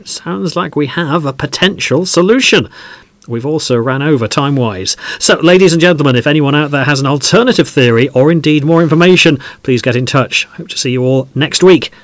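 A man's voice: on the high side, fairly quick, no echo, lightly acted. A man playing a mild podcast host in an audio drama, polite, wordy and rather formal.

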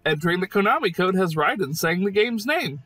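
A man's voice: sits high, very animated, fairly quick, over music. A man narrating enthusiastic scripted commentary on a video game, with an emphatic, informal, fannish delivery. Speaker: host narrator of a video game praise series.

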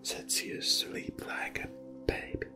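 A middle-aged man playing a calm male shaman healer for ASMR roleplay, whispering slow, soothing reassurances and repeating his phrases. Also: natural voice. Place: small indoor studio with dark backdrop. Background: soft ethnic music.

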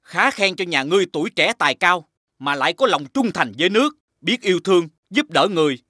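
A magnanimous, commanding cartoon king. The voice is high and bright, swinging widely in pitch, with stately phrasing. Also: animated, fairly quick, no echo, studio quality, exaggerated voice. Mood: approving. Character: authoritative, magnanimous king of a folk tale. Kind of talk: animated fairy-tale dialogue.

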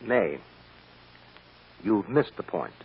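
An actor playing a firm, shrewd defense lawyer with a blunt, emphatic manner in an old-time radio drama. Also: male voice, fairly low pitch, expressive, slow pace, gravelly, heavily acted. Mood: blunt, pressing. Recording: muffled, hissy old radio recording.

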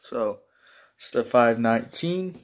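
A man chatting casually and haltingly as he films a home vlog. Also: mid-range voice, lively intonation. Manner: halting, informal. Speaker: video creator showing a weather radio collection. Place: small room at home, indoors. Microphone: handheld phone or camera held close.

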